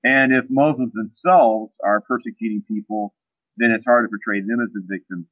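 Phone-in guest, a man with a deeper voice, talks in halting stops and starts, dry narrow line. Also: steady tone, no echo. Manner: halting, many fillers and restarts. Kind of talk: casual radio interview talk.

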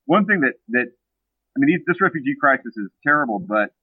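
A man speaking as a guest on an interview show, halting and full of fillers and restarts, heard over a phone line. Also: mid-range voice, sing-song, breathy edge, no echo. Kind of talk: casual radio interview talk. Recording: dry, tinny phone-line sound.

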